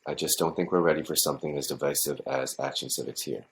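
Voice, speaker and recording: A man's voice: extremely low pitch, fairly even intonation, quick pace. An actor playing a cautious, placating male school official in a podcast drama.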